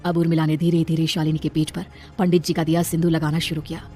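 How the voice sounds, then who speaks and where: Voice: medium pitch, flat delivery, quick pace, dark tone. A narrator reading a scripted horror story in a dramatic storytelling style.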